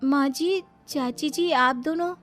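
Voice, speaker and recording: A woman's voice: sits high, slow, a bit bright, faint music behind, voice pushed up. A woman voicing an outspoken, defiant young daughter-in-law in a cartoon, indignant and questioning. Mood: surprised.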